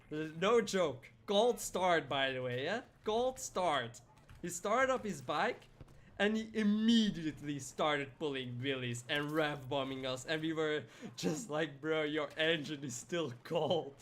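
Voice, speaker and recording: A man's voice: fairly high pitch, slow, fairly bright tone. Chatty young man streaming a game. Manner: chatty, rambling, answers chat by name, laughs often. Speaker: live streamer. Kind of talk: casual live stream chat while gaming.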